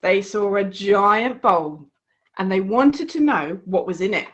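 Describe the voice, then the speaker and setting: A woman reading her children's storybook aloud in an expressive, encouraging way. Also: medium pitch, slow pace. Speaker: author reading her own book.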